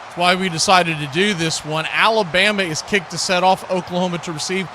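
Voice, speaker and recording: A man's voice: high voice, steady tone, brisk, background noise. A man doing live football play-by-play, mixing clipped calls with folksy, chatty asides. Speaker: play-by-play announcer and stream host.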